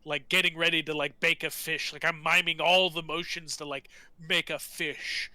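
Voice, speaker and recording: Very bright, highish-voiced rambling man. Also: level tone, normal speed, no echo. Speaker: tabletop game player. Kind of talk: casual improvised game chat.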